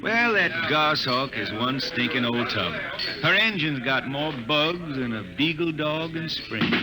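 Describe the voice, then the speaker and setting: A man's voice: on the high side, expressive, a little slow, over a noisy crowd. An actor playing a roguish, hard-drinking jetman and singer in a 1950s radio drama, with a drawling, irreverent rural American delivery. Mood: sly, defiant. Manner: drawling, folksy, sly, irreverent. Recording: old 1950s radio recording with music.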